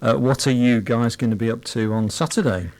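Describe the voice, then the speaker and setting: Jokey older male radio host, medium pitch. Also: a little fast, darkish tone. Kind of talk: casual radio interview chat.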